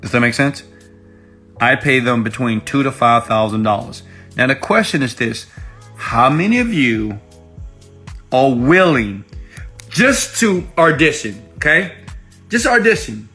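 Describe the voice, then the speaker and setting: A man's voice: mid-range voice, animated, a little slow, long pauses, pushed and bright, breathy edge, soft background music. A man giving an emphatic motivational tutorial as an online coach, checking in with rhetorical questions, recorded on an iPhone. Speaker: online money-making coach. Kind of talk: motivational tutorial pitch to viewers. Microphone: iPhone microphone.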